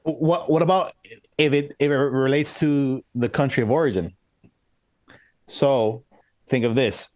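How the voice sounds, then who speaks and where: A man from the United States, a podcast co-host, talking in a relaxed, thoughtful way. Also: mid-range voice, measured pace, long pauses, no room sound. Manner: laid-back, thoughtful, laughs easily. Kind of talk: casual podcast chat.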